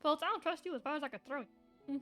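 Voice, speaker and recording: Female game streamer, high voice, casual blunt commentary with swearing, faint music behind her. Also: rapid-fire, fairly bright tone.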